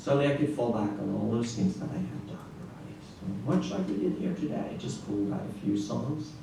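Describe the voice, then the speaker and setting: A middle-aged man from Norway giving a conference talk as a classical guitarist, telling stories in an animated way with frequent restarts and "right?" asides. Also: mid-range voice, brisk, creaky. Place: large echoey conference room. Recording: echoey room, distant mic, faint noise.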